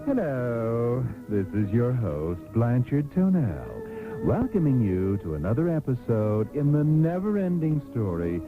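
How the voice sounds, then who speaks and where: An actor playing a pompous, mock-grand host who narrates a comic radio serial in a theatrical announcing style. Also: male voice, mid-range voice, big pitch swings, measured pace. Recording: tinny old radio tape, music behind. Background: music.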